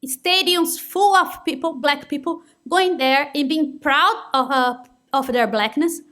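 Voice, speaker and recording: A woman's voice: high-pitched, a little slow, pushed and bright. A young woman from Brazil with a Brazilian Portuguese accent, giving an earnest conference talk in non-native English. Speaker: conference speaker. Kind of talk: conference presentation.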